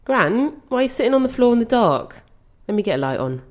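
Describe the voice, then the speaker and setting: A woman's voice: on the high side, very animated, a little fast, darkish tone. A young woman acting in an audio play as a caring, anxious granddaughter, coaxing and earnest. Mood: concerned.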